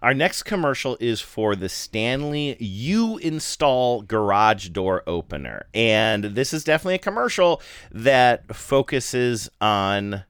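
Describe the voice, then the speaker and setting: Lively, dry-sounding male podcast host. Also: mid-range voice, a little slow, hardly any pauses, clear, resonant tone, no echo. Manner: storytelling, laughing, mimics voices. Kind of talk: casual chat.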